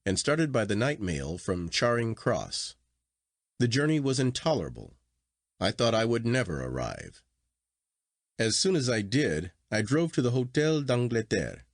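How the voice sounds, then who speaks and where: Low-voiced man reading a classic story expressively. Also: dry recording, clean studio sound. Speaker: audiobook reader. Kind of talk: scripted literary narration of a classic story.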